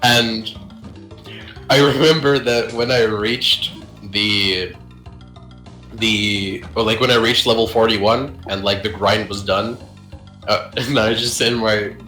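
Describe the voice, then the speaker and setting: A young man rambles through old gaming stories with loose swearing and frequent laughs. His voice is bright and cutting. The room has a noticeable echo, with faint music underneath. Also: on the low side, steady tone, takes it slowly. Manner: rambling, swearing, laughs often, shouts when reenacting. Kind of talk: casual gaming chat.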